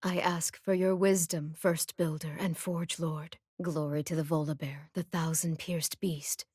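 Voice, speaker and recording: A solemn warrior queen from a game, calm n grave, slightly low voice, very dry sound. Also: measured pace, slightly rough, no echo. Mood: reverent. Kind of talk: video game character voice lines.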